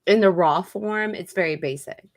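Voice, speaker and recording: A woman's voice: lowish voice, normal speed, no echo, studio quality. A woman from Texas co-hosting a casual talk show, a chatty storyteller who laughs often. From Texas, USA. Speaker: co-host. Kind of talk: casual chat.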